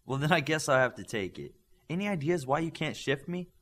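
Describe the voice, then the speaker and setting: A man's voice: on the high side, expressive, brisk, fairly bright tone, pitched above the performer's own voice. A man voicing a wisecracking teenage boy superhero trainee in an audiobook, cheeky and dryly humorous. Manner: cheeky, dry humour. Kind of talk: audiobook character voice.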